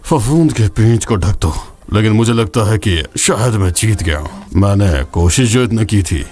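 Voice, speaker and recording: Man acting as a low-voiced, world-weary mystic mentor. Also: expressive, moderate pace, a little mellow. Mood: wry, brooding. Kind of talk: dubbed fantasy game film dialogue. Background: dramatic background music.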